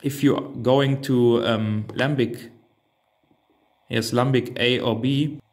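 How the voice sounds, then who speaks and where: A man's voice: mid-range voice, steady tone, slow pace. A man whose native language is German gives an unscripted hands-on product walkthrough, hesitantly thinking aloud. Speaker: reviewer demonstrating a radio transceiver.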